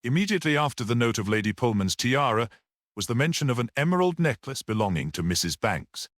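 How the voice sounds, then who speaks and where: Casting: man reading as a polite, dry, self-assured storybook detective, formal and measured, with a swooping intonation. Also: mid-range voice, sing-song, a bit bright, dry recording, voice pushed up. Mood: composed, explanatory. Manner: formal, measured, clear and courteous.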